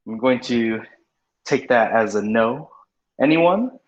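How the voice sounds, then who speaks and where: A man in his thirties from California teaching an English class over a video call, loud and energetic, often checking in with "okay?" and "all right?". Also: medium pitch, takes it slowly. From California, USA. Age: 30s. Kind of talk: online class instruction. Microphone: computer microphone on video call. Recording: lo-fi echoey online call audio.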